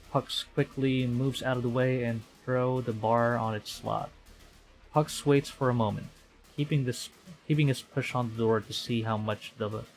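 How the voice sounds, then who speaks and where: A man livestreaming a visual novel, reading it aloud with excitable, dramatic delivery and chatty casual asides. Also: mid-range voice. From Philippines. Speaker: streamer. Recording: music behind the voice. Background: game music.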